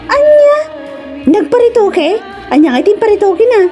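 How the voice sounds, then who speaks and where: A woman with an Ilocano accent playing an excitable, complaining village wife in a comedy radio drama, dramatic and exclamatory. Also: extremely high pitch, normal speed, faint music behind. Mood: amused gossip.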